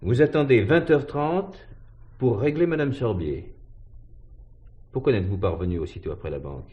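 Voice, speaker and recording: An actor playing a stern police commissioner who questions formally and insistently, in a 1970s French radio drama. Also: male voice, mid-range voice, lively intonation, moderate pace, dull audio, close to an everyday voice. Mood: probing, dry.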